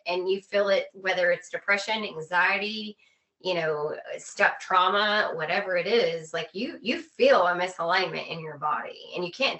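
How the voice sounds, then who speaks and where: Bright, emphatic woman explaining. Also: lowish voice. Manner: emphatic, repetitive phrasing. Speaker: interview guest. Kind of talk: earnest conversational explaining.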